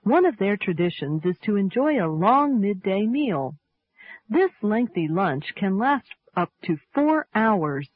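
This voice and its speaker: A woman reads English lesson material slowly, her pitch rising and falling in a lively, expressive way. The sound is dry and thin, like radio heard through a narrow, phone-like band. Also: no echo. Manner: slow, clearly enunciated, lively intonation. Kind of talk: scripted textbook reading.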